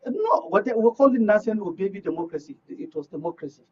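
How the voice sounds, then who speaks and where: A man's voice: high voice, lively intonation, fast talker, recorded from a distance, a little hiss or hum. A Nigerian man giving political commentary as a panel guest, emphatic and prone to repeating his phrases. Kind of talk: animated panel discussion. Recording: dull audio, away from the mic.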